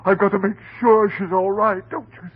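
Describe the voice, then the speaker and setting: An actor playing an anguished, hot-tempered, guilt-wracked husband in melodramatic, stammering old radio horror style. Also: male voice, high voice, fairly even intonation, normal speed, husky. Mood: guilty, pleading. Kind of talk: old-time radio horror drama acting. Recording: muffled, noisy old radio recording.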